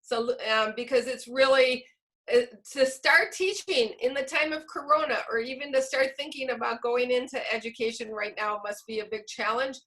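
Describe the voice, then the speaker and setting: A woman's voice: a little high, moderate pace, a bit bright. A woman giving informal closing remarks as a workshop presenter on a video call.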